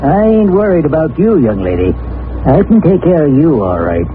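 An actor playing a greedy, cold-blooded American homesteader with folksy rural speech in a 1940s radio crime drama. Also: male voice, a little high, big pitch swings, moderate pace, music in the background. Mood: cold, menacing. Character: menacing, greedy, cold-blooded homesteader villain, adult man. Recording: scratchy, narrow-band 1940s radio recording with noise.

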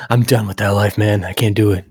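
A man's voice: on the low side, a little mellow, husky, no room sound, clean studio sound. A man co-hosting a podcast in casual chat, rambling with lots of fillers. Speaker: podcast co-host.

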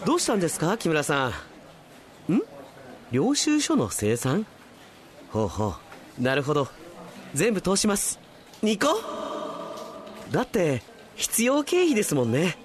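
A man's voice: a little high, huge pitch swings, measured pace, pauses often. A man voicing an earnest, polite demon who works as a strict, anxious office accountant in a comic radio drama. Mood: forced cheerfulness. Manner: prim, polite speech, anxious and stiff.

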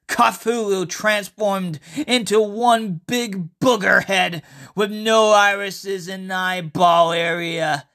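A podcast host reading a horror story and promotional notes in a halting way, repeating phrases. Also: mid-range voice, creaky, sharp tone.

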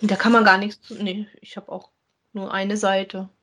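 Woman in casual podcast chat, medium pitch. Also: flat delivery, normal speed, fairly bright tone. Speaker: podcast co-host reviewing audio dramas. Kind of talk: casual chat, reviewing audio plays.

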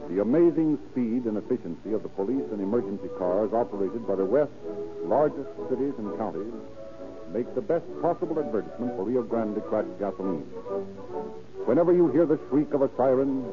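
A man's voice: medium pitch, lively intonation, a little fast. A man announcing and narrating in an emphatic, formal, scripted 1930s radio style. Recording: tinny 1930s radio recording with music.

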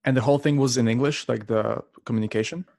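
A man's voice: medium pitch, fast, creaky, darkish tone. A man hosting an interview, asking casual follow-up questions in a conversational way. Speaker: host.

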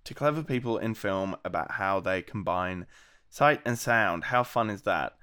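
A man's voice: lowish voice, expressive, moderate pace, sharp tone, smooth. Sick Australian man hosting a podcast. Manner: friendly, chatty, many ums. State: sick, voice gravelly. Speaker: podcast host. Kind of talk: podcast interview.

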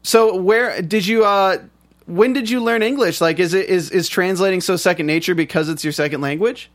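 High-voiced chatty male podcast host. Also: moderate pace. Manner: chatty, with frequent fillers and restarts. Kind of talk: casual podcast interview.

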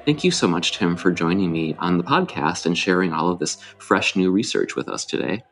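A man with a fairly low voice hosts a podcast. He speaks formally and courteously in well-formed sentences, as in a prepared interview. Music plays clearly behind his voice. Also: expressive, fairly quick, a bit bright. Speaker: podcast host. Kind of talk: scripted introduction and interview questions.

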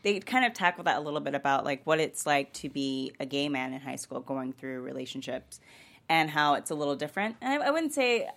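Low-voiced woman, rambling and casual. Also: animated, a little fast, fairly bright tone. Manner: rambling, peppered with "like". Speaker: panelist. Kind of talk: casual panel discussion.

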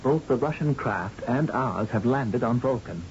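An actor playing a dutiful control-room aide announcing news to a superior in an old-time radio drama. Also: male voice, mid-range voice, expressive, measured pace, darkish tone. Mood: dutiful, urgent. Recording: dull, very noisy old radio recording.

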